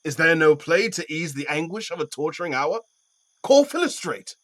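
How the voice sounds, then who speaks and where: A man playing a stately, sceptical Athenian duke in a Shakespearean audio play, speaking in a measured, regal, declamatory way. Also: on the high side, very animated, no room sound. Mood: genial, expectant.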